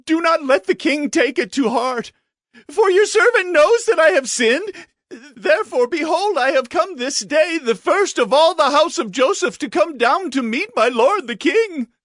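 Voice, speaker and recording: A man's voice: extremely high pitch, expressive, measured pace, fairly bright tone, dry recording, studio quality, full character voice. A man playing an abject sinner begging for mercy, pleading and overwrought, in a scripture drama. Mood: desperate pleading.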